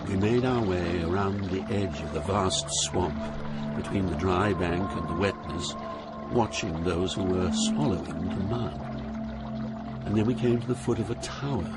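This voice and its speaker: An older actor with a British accent playing a grave poet, giving solemn, measured narration in an audio drama. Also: male voice, low-pitched, loud music behind the voice, lightly acted. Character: grave older poet recounting his journey through hell.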